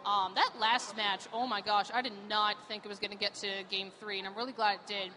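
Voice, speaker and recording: A woman's voice: medium pitch, fairly even intonation, fairly quick, pushed and bright. A woman from Pittsburgh, a cosplayer, chatting enthusiastically in an event interview with many fillers. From Pittsburgh, USA. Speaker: cosplayer guest.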